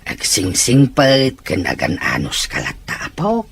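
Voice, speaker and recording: A woman playing a gentle old grandparent who gives patient advice in a serial audio drama. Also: a bass voice, huge pitch swings, measured pace, exaggerated voice. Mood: gently advising. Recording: faint background noise.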